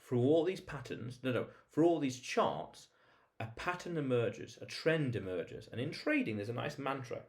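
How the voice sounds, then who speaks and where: A man with a mid-pitched voice speaks as a vlog host in an informal monologue. He explains things emphatically, often repeating phrases for weight and putting rhetorical questions to the viewer. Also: animated, normal speed, vocal fry, darkish tone.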